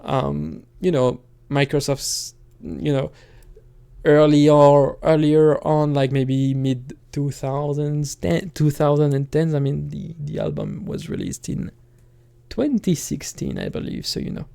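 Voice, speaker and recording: A man talking casually on his own channel in a hesitant, halting way, thinking out loud as he goes. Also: fairly high pitch, big pitch swings, creaky. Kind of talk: casual rambling solo talk.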